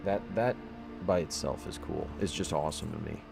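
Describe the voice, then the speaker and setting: Male streamer, deep voice, rambling casual chat, music bed behind. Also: fairly quick, gravelly. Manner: rambling, with many restarts and fillers. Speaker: livestreamer playing a game. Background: background music.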